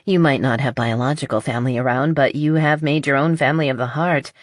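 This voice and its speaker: A woman voicing a blunt, honest, skeptical but loyal best friend in an audiobook, with a dry teasing manner and husky voice. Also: rumbling low, fast talker, no echo. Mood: supportive.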